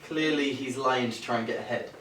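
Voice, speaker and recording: Brisk male boss, played by a stage actor: authoritative, rapid delivery, roomy recording with faint noise. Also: medium pitch, close to an everyday voice. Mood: demanding. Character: brisk, clueless, commanding male radio station boss. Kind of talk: live stage play acting. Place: indoor theater stage, picked up from afar. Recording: echoey stage, distant mic, faint noise.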